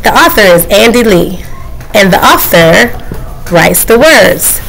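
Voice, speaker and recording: Slow, gentle woman teacher reading to kids, lively tone. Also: medium pitch, vocal fry, faint music behind, rough recording. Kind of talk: children's read-aloud.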